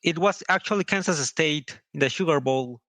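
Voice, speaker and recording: A man's voice: fairly high pitch, moderate pace, bright, forceful tone, no echo. A man with a Costa Rican accent, calling in as a listener and casually asking questions. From Costa Rica. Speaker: audience caller.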